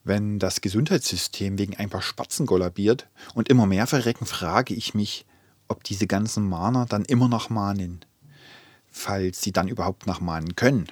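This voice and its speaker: Actor as low-voiced, blunt male commenter. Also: normal speed, dry recording, close to an everyday voice. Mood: sardonic, blunt. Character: blunt sardonic male social media commenter. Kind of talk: radio drama collage reading of social media comments.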